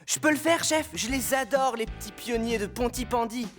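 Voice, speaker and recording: Eager, high-pitched bumbling fireman. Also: normal speed, music in the background. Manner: hesitant, deferential. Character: eager, bumbling, obedient male firefighter underling. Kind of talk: French cartoon dub.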